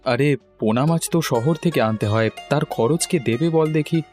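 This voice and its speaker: Man playing cartoon village farmer, male, mid pitch, cautious, colloquial, music underneath. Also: a little fast, darkish tone, natural voice. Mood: doubtful, worried. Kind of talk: cartoon dialogue.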